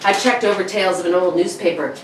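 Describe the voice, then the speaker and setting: A woman's voice: lowish voice, quick pace, natural voice. A woman playing an unnerved, sleepless, eerily intense man in a 1940s-style suspense radio drama, theatrical and brooding. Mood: morbid. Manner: theatrical, brooding, nervous laughter. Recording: roomy, distant, faint background noise.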